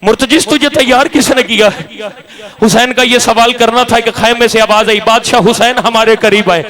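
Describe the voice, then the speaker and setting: A man's voice: extremely high pitch, normal speed, fairly bright tone. A man delivering an impassioned mourning sermon, telling the story in a wailing, declamatory voice and calling out to the listeners. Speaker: zakir reciting a majlis. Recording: live gathering crowd noise. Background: mourning crowd.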